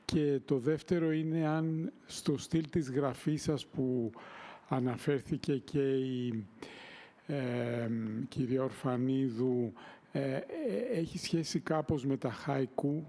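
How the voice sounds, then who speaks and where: A man's voice: medium pitch, fairly even intonation, measured pace, warm tone. A man in the audience asking a question and adding a comment, hesitant and full of fillers. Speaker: audience member. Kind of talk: live Q&A question.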